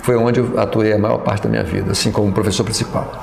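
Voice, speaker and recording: Gravelly Brazilian man, hesitant storyteller. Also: on the low side, flat delivery, fast talker, darkish tone, echoey room. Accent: Brazilian Portuguese. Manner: hesitant, many fillers and restarts, reflective. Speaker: pastor and professor interviewee. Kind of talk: reminiscing interview.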